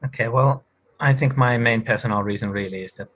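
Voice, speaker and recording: A man's voice: mid-range voice, a little fast, fairly bright tone, rough recording. A man from Finland, a university lecturer, speaking thoughtfully with small hesitations on an online panel. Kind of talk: panel discussion.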